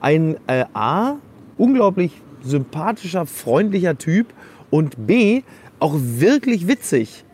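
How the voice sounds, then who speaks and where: A man with a fairly high voice chats as a podcast co-host. He tells stories informally, with a joking, sarcastic edge. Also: sing-song, slow pace, darkish tone, slightly rough. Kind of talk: casual humorous football podcast chat.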